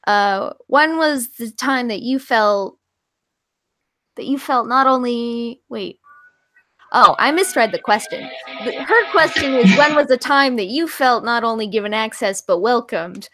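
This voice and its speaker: A woman's voice: a little high, lively intonation, measured pace, bright, forceful tone, soft background music, rough recording. A woman in her twenties moderating a casual panel, informal and self-deprecating with some swearing. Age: 20s.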